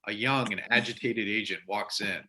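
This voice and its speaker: A man's voice: lowish voice, moderate pace, sharp tone. A man reading stage directions as narrator for a readers theater held over a video call. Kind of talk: scripted narration. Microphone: video or conference call.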